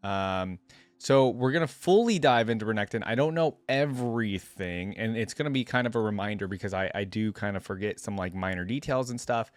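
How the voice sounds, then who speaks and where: A man's voice: fairly low pitch, lively intonation, brisk, dry recording. A man hosting a reaction video, talking conversationally and thinking aloud as he comments and reads lore.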